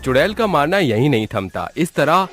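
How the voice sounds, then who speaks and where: A man's voice: on the high side, big pitch swings, normal speed, fairly bright tone. A man narrating a scripted horror story as a dramatic storyteller.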